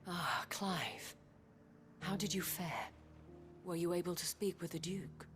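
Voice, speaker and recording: A principled, dignified town leader in a fantasy video game. She is a noblewoman with a low voice and poised, eloquent, formal phrasing. Faint music plays beneath her. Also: expressive, slow. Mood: hopeful. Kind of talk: scripted game dialogue.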